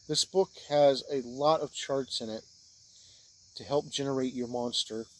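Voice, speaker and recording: Male reviewer, mid-pitched, plain-spoken with halting short phrases, informal game-book review, audible cricket-like background noise. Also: low-quality recording. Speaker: reviewer of tabletop role-playing books, game master.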